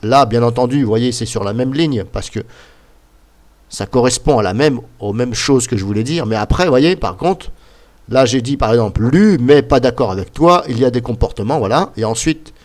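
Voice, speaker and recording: A man's voice: mid-range voice, animated, moderate pace. Chatty man with a slight cold. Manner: rambling, chatty, full of "bah" and "voilà", points at the screen. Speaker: YouTuber. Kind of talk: casual screen-recording explainer.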